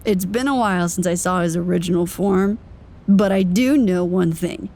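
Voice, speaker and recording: A woman's voice: on the low side, moderate pace, warm tone. A woman acting in an audio drama as someone whimsically muttering to herself in a casual, rambling way. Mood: musing, playful.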